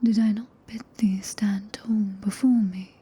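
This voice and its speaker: A woman with a British accent playing a regal, possessive witch in whispered ASMR roleplay, speaking archaically and imperiously with long pauses, close to the microphone. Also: medium pitch, level tone, dark tone. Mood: cool, teasing authority. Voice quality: breathy, whispered. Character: regal, possessive witch, cool yet tenderly commanding. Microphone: close binaural ASMR microphone.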